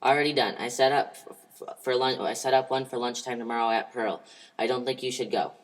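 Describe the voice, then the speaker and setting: A man's voice: mid-range voice, fairly even intonation, fairly quick, close to an everyday voice. A man playing an earnest police detective in an amateur old-time radio mystery. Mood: businesslike. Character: male police detective, earnest partner investigating a murder.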